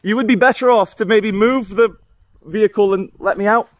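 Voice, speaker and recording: A man in his thirties filming himself outdoors on a driveway with a handheld camera, talking loudly, pleading and indignant. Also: sits high, normal speed, no echo. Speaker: YouTuber filming a confrontation. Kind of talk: confrontational self-filmed vlog. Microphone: handheld camera mic.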